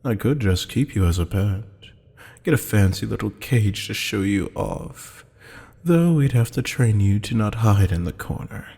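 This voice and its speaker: A man playing a haughty, teasing demon buyer in an ASMR roleplay drama, with a low, gravelly, husky voice and a taunting, mock-polite manner. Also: animated, a little slow, mellow, full character voice. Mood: taunting, menacing. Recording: long hall-like reverb.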